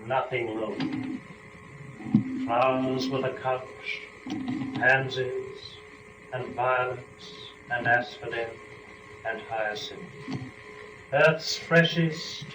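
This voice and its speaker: A male narrator gives a solemn dramatic reading of epic poetry. His delivery is very slow and declamatory, broken by weighty pauses. He sounds away from the microphone in an echoey room, with clearly audible noise. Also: a little high, distant mic.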